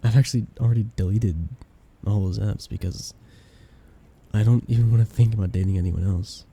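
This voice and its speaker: Guy playing a shy lovestruck best friend, really mellow low voice, halting and tender, asmr romance roleplay. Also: fairly even intonation, slightly rough. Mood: earnest, nervous. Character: shy young man confessing love to his best friend.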